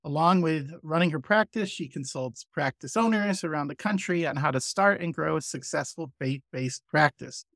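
Male podcast host, fairly high voice, dry close sound, friendly and chatty with restarts. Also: moderate pace, gravelly, few pauses, fairly bright tone, dry recording. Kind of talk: conversational podcast interview.

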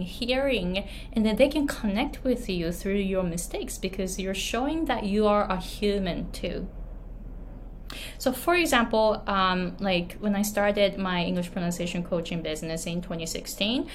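A woman's voice: mid-range voice, a little hiss or hum. A woman in her thirties from Japan with a Japanese accent, a pronunciation coach chatting casually and laughing often as she tells a story. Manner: laughs often, many fillers and restarts. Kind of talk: casual talk, storytelling tips.

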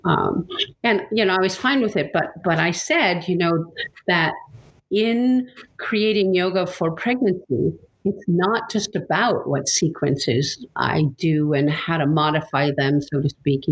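A woman's voice: lowish voice, rough recording. A woman interviewer talking casually and reflectively, searching for her words and often repeating them as she goes. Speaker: interviewer and yoga writer.

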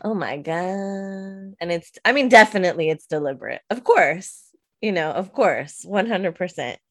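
A woman's voice: lowish voice, lively intonation, normal speed, dry recording. A woman on a discussion panel, speaking emphatically and agreeing strongly. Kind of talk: conversational discussion.

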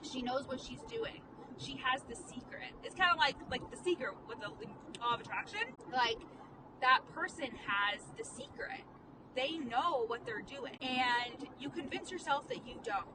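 A woman's voice: high voice, sing-song. Candid young woman talking in a car. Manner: candid, fast, peppered with "like" and profanity. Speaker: podcast host and coach. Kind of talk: casual monologue while driving. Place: inside a car. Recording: distant mic with road noise. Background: car and street noise.

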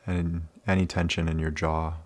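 A man leading a guided meditation in a calm, soothing, unhurried manner with many pauses. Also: low voice.

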